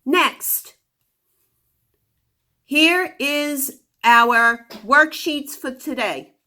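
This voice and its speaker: A woman teaching a phonics lesson to young children, giving deliberate instructions and sounding out words. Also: high-pitched, pushed and bright.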